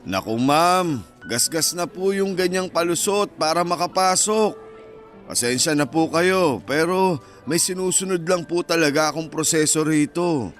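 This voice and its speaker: An actor in a Filipino radio drama playing a stubborn university security guard who is polite, firm and by the book. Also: male voice, fairly high pitch, measured pace, faint music behind, close to an everyday voice. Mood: skeptical.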